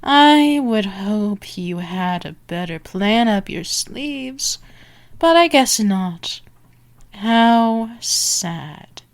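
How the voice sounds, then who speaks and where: A woman playing a dominant, predatory female vampire in an ASMR roleplay, taunting and sultry, often slipping into breathy whispers. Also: medium pitch, lively intonation, very slow. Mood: scornful, mocking. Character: dominant, teasing, predatory female vampire stalking her prey.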